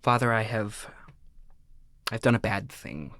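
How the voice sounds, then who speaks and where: A low-pitched, melodramatic son played by a man in a comedic audio drama, recorded dry and close. He talks in grandiose, halting phrases, forever suspicious and styling himself a villain. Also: expressive, a bit bright, no echo. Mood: solemn confession.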